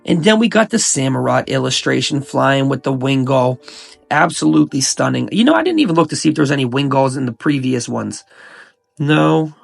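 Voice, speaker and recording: A young man with a cold hosts his channel, talking in an excited, casual way and repeating his hype words. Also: mid-range voice, brisk, gravelly, faint music behind. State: sick, coughing and sniffling. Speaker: YouTube host. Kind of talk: hyped casual commentary.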